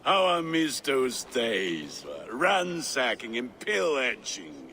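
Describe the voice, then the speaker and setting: A man's voice: mid-range voice, wildly swooping pitch, takes it slowly, bright, forceful tone, full character voice. A man playing a hearty, wistful Viking blacksmith in a video game, folksy and savouring his words. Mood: hearty, nostalgic. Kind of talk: dramatic video game acting. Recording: faint ambient noise. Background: ambient noise.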